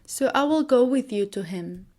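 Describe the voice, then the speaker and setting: A woman voicing a gentle, devoted young daughter in a fairy tale, earnest and polite. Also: fairly high pitch, expressive, moderate pace, close to an everyday voice.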